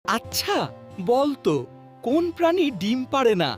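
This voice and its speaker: A woman playing a lively schoolteacher who briskly quizzes a class in a children's cartoon. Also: high-pitched. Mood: bright, quizzing. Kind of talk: kids' cartoon quiz dialogue. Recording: music playing behind the voice.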